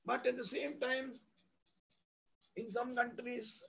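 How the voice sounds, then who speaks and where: High-pitched, husky Indian man lecturing. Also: flat delivery, takes it slowly, fairly bright tone. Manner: didactic, emphatic, repeats with synonyms and lists. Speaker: university lecturer. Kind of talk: academic lecture, explanatory with examples. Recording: dull lo-fi audio.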